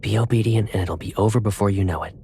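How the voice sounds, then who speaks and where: Man acting as young male colonel, sci-fi game character, deep pitch, cold, measured, commanding, quietly menacing. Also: slight background noise, close to an everyday voice. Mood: coolly commanding. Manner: measured, controlled, authoritative. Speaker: voice actor in a game cutscene.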